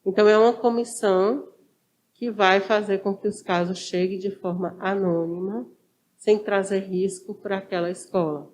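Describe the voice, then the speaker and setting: A woman's voice: medium pitch, fairly even intonation, normal speed, darkish tone. A woman with a Brazilian accent answering as an interview guest, conversational and didactic, repeating her key points. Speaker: social worker, interview guest. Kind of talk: informal explanatory interview.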